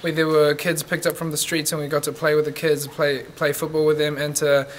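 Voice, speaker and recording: A young man describing his volunteer work in upbeat snippets, often pausing with "um". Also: on the high side, little pitch movement, a little hiss or hum. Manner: upbeat with frequent ums. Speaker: student. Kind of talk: short testimonial snippets.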